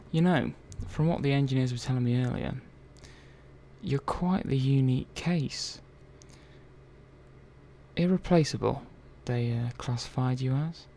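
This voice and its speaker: Man playing a gravelly, caring robot technician. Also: mid-range voice, warm tone, lightly acted. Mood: reassuring, fond. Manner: hesitant, rambling, reassuring, murmured asides. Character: chatty, caring male robot technician, sci-fi ASMR roleplay. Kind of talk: ASMR roleplay, improvised one-to-one talk. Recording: faint background noise and ambience.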